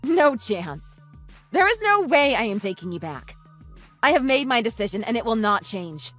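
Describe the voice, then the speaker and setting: A woman's voice: on the high side, big pitch swings, moderate pace, a bit bright, faint music behind. A woman playing an angry, resolute wife in a radio drama, with a firm and scornful manner. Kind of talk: dramatized story dialogue.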